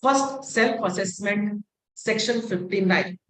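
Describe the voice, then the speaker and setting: A man's voice: sits high, slow pace, a bit bright, slight background noise. Indian-accented male tutor for a live webinar, repetitive and methodical, roomy echo on the recording. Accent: Indian English. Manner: explains step by step with many repetitions, mixing in Hindi. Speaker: webinar instructor. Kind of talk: live online tax lecture. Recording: echoey room sound.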